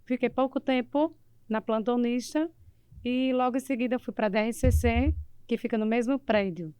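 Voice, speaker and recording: Very clear, higher-voiced northeastern Brazilian woman. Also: moderate pace, warm tone, no echo. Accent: northeastern Brazilian, Alagoas. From Maceió, Brazil. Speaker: police chief, interview guest. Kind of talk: conversational personal account.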